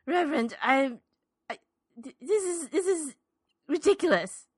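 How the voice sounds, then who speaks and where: A woman's voice: high voice, expressive, a little slow. A woman voicing an indignant old woman parishioner in an improvised comedy sketch, stammering, flustered and repeating herself.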